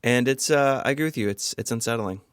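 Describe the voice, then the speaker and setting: A man's voice: mid-range voice, fast, smooth, no echo, clean studio sound. A man co-hosting a podcast, reading scripted explanations mixed with casual banter. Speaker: podcast co-host.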